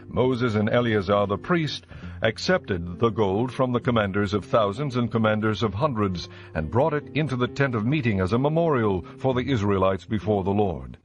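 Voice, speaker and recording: A man giving a formal, measured scripted narration of scripture. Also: fairly low pitch, expressive, lo-fi sound.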